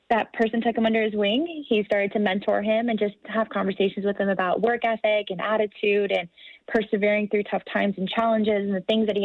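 A woman's voice: mid-range voice, no echo, narrow, tinny audio. A woman, American-born, speaking as a guest in a podcast interview in an earnest, articulate and motivational way. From United States.

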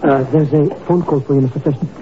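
Man playing a nervy, highish-voiced sidekick. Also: steady tone, quick pace, background noise, lightly acted. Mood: eager, uncertain. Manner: hesitant, stammering, complaining. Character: nervy, grumbling male club servant turned informant sidekick. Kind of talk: 1950s radio drama acting. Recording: muffled old radio recording, music.

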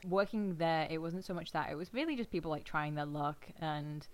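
An English woman talks casually in a lowish voice. She rambles through restarts and tells her stories with a wry, exasperated humor. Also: normal speed. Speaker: former heritage site worker. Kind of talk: casual chat.

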